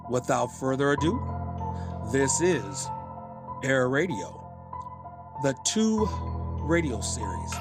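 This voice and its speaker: A man hosting a podcast, giving a semi-scripted introduction in short, separated phrases. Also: mid-range voice. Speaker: podcast host. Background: music bed.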